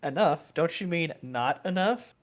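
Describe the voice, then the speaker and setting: A man in a relaxed, casual conversation. His voice is a little higher than average for a man, and he talks in an offhand, teasing way with dry humour. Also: a little slow, highs cut off. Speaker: co-host in a gaming chat. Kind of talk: casual chat.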